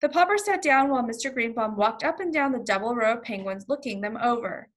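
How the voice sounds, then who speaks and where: A woman reading a children's story aloud as a first-grade teacher, expressive and instructional, pausing to explain words simply. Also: fairly high pitch. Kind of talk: children's read-along with vocabulary explanations.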